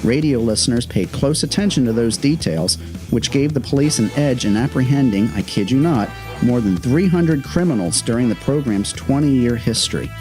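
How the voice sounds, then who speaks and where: A man's voice: mid-range voice, expressive, moderate pace, a little mellow, soft background music, low-quality recording. A man hosting a programme with scripted historical commentary, in the manner of a prepared narration. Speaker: host, radio historian.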